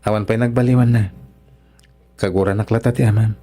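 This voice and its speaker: A man's voice: lowish voice, fairly even intonation, fairly quick, warm tone, breathy edge, faint music behind. A man playing a humble, earnest young son-in-law of poor means in an Ilocano radio drama, respectful and hesitant, with an Ilocano accent. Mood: hurt, sincere.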